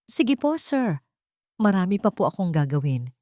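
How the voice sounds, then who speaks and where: A woman's voice: medium pitch, very animated, moderate pace, dark tone, no room sound, clean studio sound, natural voice. A woman voicing a loyal elderly housemaid who speaks anxiously and deferentially in an audio drama story. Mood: nervous, evasive.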